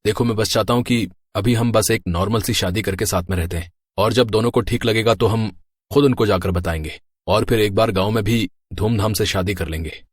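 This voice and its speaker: A placating young husband in a horror storybook, acted by a man. His voice is husky and a little low, coaxing as he explains himself. The sound is dry, without room echo. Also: a little fast. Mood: persuasive.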